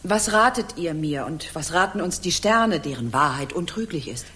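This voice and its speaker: A medieval empress and regent in a radio drama. She is commanding and anxious, with formal, theatrical speech that swings widely in pitch. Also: expressive, normal speed, fairly bright tone. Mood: uneasy, grave. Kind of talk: German radio play acting.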